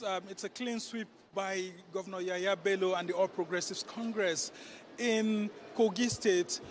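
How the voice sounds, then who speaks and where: High-pitched Nigerian man reporting live. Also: slight background noise. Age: middle-aged. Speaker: political correspondent. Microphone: handheld microphone.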